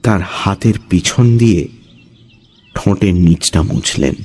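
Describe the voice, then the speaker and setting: A man's voice: low voice, unhurried, a little mellow. A man giving a dramatic, scripted narration of a horror story. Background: background music.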